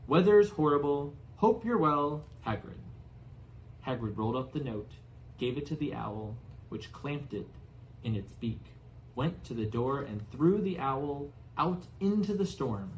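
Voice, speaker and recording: A man's voice: mid-range voice, expressive, unhurried, a little mellow, a little hiss or hum, poor audio. A man giving scripted narration of a storybook as an audiobook reader.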